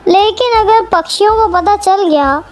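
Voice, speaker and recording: A woman's voice: very high voice, unhurried, faint music behind. A woman voicing a sly, wicked male crow in a children's cartoon, conniving and familiar. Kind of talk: Hindi children's cartoon acting.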